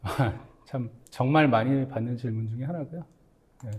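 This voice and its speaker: A man's voice: medium pitch, moderate pace, a little mellow. A man giving an explanatory business presentation as a company executive, speaking politely and conversationally with English tech terms mixed in.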